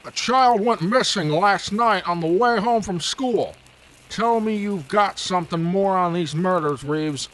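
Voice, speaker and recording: An actor playing a stern, demanding police commissioner in an old time radio drama. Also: male voice, high voice, measured pace, a bit bright, low-quality recording. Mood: urgent, grim.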